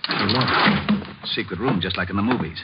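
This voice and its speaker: An American actor playing a gruff, bossy, long-suffering police lieutenant with blustering sarcasm in vintage radio drama style. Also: male voice, on the low side, brisk, natural voice. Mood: exasperated. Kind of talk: late 1940s to early 1950s radio acting. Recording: muffled, noisy vintage radio recording.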